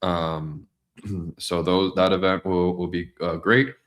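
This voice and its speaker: Man, very deep voice, hoarse, rambling podcast co-host, lots of fillers. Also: little pitch movement, slow, a bit bright. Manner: rambling, many fillers and restarts. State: hoarse, losing his voice. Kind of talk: casual business podcast chat. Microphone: studio mic.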